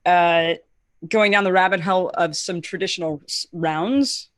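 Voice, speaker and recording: A woman's voice: on the low side, fairly even intonation, measured pace, no echo, studio quality. A woman co-hosting a podcast in casual chat, with a scratchy throat, blunt and swearing freely, laughing often. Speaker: podcast co-host.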